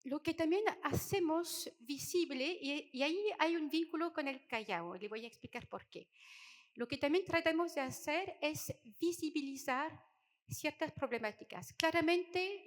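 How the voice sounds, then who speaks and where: A middle-aged woman from France with a French accent, giving a conference talk in a hesitant way, with many fillers and restarts. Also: sits high. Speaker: conference speaker. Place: conference hall. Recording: hall reverb.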